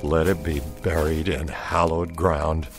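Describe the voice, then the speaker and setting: An actor playing a troubled Confederate soldier, speaking in a grave, earnest way in an old-time radio drama. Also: male voice, rumbling low, little pitch movement, unhurried. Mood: solemn. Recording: old radio recording with music.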